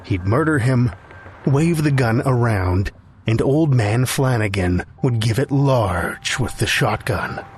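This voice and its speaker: A man's voice: mid-range voice, animated, takes it slowly, a little hiss or hum. A man narrating scripted fiction for a podcast as its storyteller. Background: music.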